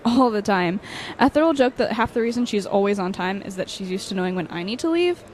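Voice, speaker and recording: A woman's voice: medium pitch, expressive, fast talker, darkish tone, natural voice. A young woman playing a good-humored, easygoing college student in an audio drama, chatty and self-deprecating. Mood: light, chatty. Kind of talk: audio drama casual dialogue.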